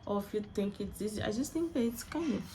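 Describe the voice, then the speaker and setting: A woman's voice: medium pitch, expressive, fairly quick, dark tone, slight background noise, poor audio. A young woman from Angola whose first language is Portuguese, chatting casually in her vlog and often hunting for words and restarting her phrases. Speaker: vlogger.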